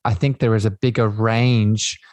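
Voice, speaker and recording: A man's voice: fairly low pitch, level tone, unhurried, a little mellow, no echo. Earnest male podcast host. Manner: earnest, affirming, repeats phrases for emphasis. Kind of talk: conversational podcast interview, explaining at length to listeners.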